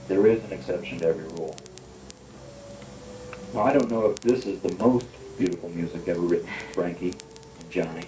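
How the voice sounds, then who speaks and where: An actor playing a calm late-night radio DJ, speaking in an intimate on-air manner, heard over a radio. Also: male voice, on the low side, reverberant, far from the mic, rough recording.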